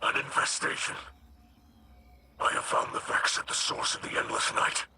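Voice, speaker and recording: A man playing a grave, wary alien captain and spiritual leader in a video game, with a breathy rasp and solemn, formal phrasing. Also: normal speed, sharp tone, slight background noise. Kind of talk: video game character dialogue.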